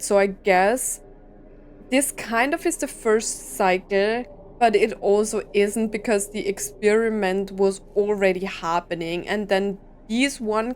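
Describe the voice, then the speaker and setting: A woman's voice: medium pitch, a little hiss or hum. A woman gaming streamer giving casual reaction commentary, thinking aloud as she goes. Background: game music.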